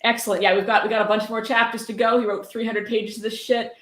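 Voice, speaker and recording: A woman's voice: fairly high pitch, flat delivery, fast. A woman hosting a livestream, chatting casually and reading aloud in an informal, sweary way. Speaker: livestream host. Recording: roomy, echoing livestream audio.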